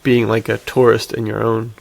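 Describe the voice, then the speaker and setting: A man's voice: lowish voice, flat delivery, normal speed, creaky, a little mellow. A man hosting a podcast, chatting casually in informal, slangy language like he is among friends. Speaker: podcast host. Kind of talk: casual banter between friends.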